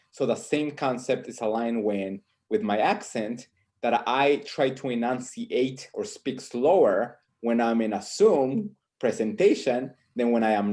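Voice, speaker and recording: A man with a voice at an ordinary pitch, speaking as a panelist on a video call. He talks in a reflective way and often tags his points with "right?". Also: darkish tone. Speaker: professor on a panel.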